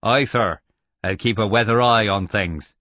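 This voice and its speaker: A man's voice: lowish voice, measured pace, sharp tone, no echo, dull audio. A man voicing a plain-spoken, folksy sailor, a boatswain's mate, in an audiobook sea story. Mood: dutiful. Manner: folksy, colloquial.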